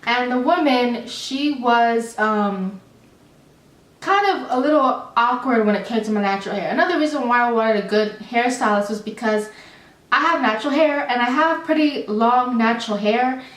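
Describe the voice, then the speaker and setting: A young adult woman telling a storytime vlog in a chatty, animated, rambling way with many fillers. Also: a little high, moderate pace. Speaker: vlogger. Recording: roomy, slightly distant mic.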